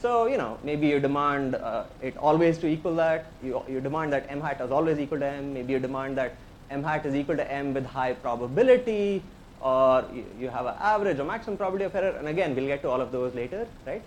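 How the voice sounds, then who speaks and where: A man's voice: mid-range voice, expressive, quick pace, a little hiss or hum. A man with an Indian accent, a professor giving a technical tutorial, explaining step by step in a conversational way and inviting questions. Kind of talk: technical lecture.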